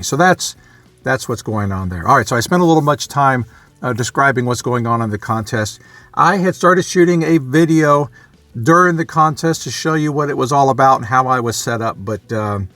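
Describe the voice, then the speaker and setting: A man with a medium-pitched voice talks through a hobby explainer in a casual, unscripted way. He sounds conversational, working out his explanation as he speaks. The recording is close and dry, with faint music in it. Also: a bit bright, no room sound. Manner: conversational, thinking aloud, loosely explaining. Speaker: amateur radio hobbyist and video presenter.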